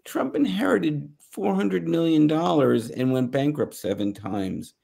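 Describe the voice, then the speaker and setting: A middle-aged American man giving news commentary on a video call, halting and searching for words. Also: fairly high pitch, expressive, creaky, mellow, husky. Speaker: panelist. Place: small home office, indoors.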